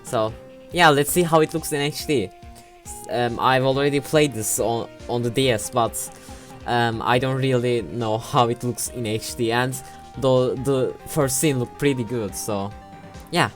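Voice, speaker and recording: A woman's voice: rumbling low, a little slow, faint music behind. A young woman hosting a let's play, giving casual, rambling and hesitant game commentary on a home computer mic.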